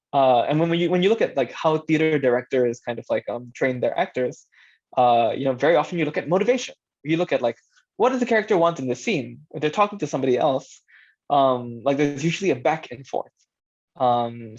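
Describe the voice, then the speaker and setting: Conversational man presenting, slightly dull recording. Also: mid-range voice. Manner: conversational, thinking aloud, checks in with listeners. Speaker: workshop presenter, audio drama director. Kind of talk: informal workshop talk with demonstrated lines. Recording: dull, lo-fi band-limited sound.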